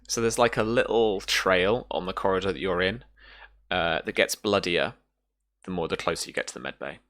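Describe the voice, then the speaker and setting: A man working as game master, narrating a tabletop horror roleplaying game in a halting way, repeating words as he describes scenes. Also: sits low, fairly bright tone, dry recording.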